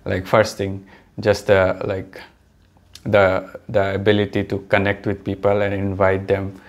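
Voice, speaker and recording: A young man who speaks English as a third or fourth language, with an accent that mixes British, American and Indian English, talking hesitantly to the camera and often stopping to correct himself. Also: fairly low pitch. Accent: mixed British, American and Indian-influenced English. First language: not English. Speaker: vlogger. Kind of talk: casual talk to camera.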